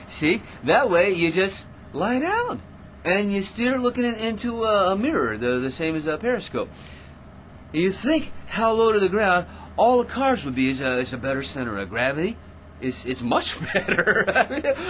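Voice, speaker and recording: Cocky, animated American chatterbox with crackpot schemes. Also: male voice, on the high side, a little hiss or hum. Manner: rambling, smug, stammering excitedly, pestering with tag questions. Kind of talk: radio drama acting. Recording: radio drama with music underneath.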